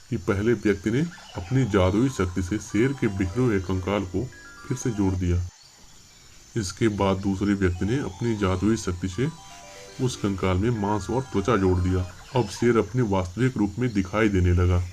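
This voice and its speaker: Low-voiced man narrating a children's story. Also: moderate pace, a little mellow, soft background music.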